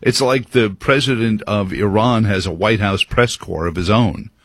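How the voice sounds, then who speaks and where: Husky low-voiced talk radio host. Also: male voice, normal speed, a bit bright, dry recording. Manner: rambling, many fillers and restarts. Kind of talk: opinionated talk radio monologue.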